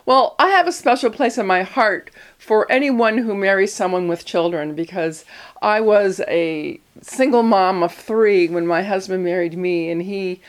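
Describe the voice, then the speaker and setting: A woman interviewer with a medium-pitched voice leads a conversation with her guests. She responds to their answers in an effusive, encouraging way. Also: a little slow, clean tone. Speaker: interviewer host.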